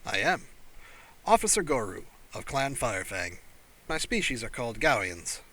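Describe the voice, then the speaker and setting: A man voicing a small, furry male alien pilot, wry and curious, with a baritone voice, in an audiobook. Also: big pitch swings, normal speed, pushed and bright, full character voice. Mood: wry, friendly. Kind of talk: audiobook character voice.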